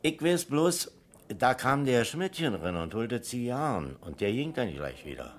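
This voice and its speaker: An actor playing a down-to-earth, long-serving pub landlord in a German radio crime drama, colloquial and emphatic, with a Berlin accent. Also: male voice, medium pitch, very animated, a little slow. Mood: unsure, helpful.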